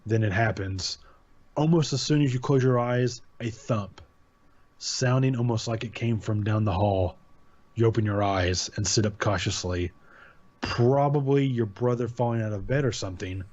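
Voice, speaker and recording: A man's voice: fairly low pitch, moderate pace, darkish tone. A man hosting his channel, reading a short creepypasta aloud in a casual tone and stopping to comment on its grammar errors. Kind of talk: casual story reading with asides. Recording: dull lo-fi sound.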